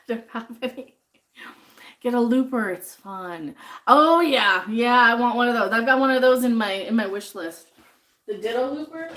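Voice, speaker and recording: A woman's voice: on the high side, fairly bright tone. A woman chatting casually on a livestream while she cooks in her home kitchen, sniffly from chopping jalapenos and reading viewer comments aloud. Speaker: livestream host cooking.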